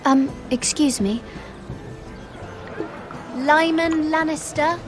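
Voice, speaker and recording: A woman playing a shrewd young noblewoman in a fantasy game, speaking politely and with measured care. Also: sits high. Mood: probing, persuasive. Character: poised, shrewd young noblewoman scheming at court. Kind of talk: fantasy video game acting. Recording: music behind the dialogue.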